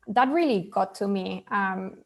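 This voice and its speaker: A woman's voice: mid-range voice, unhurried. A woman from Argentina, a panelist answering at length in an earnest way, with many fillers and repeated words. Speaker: panelist from a children's charity.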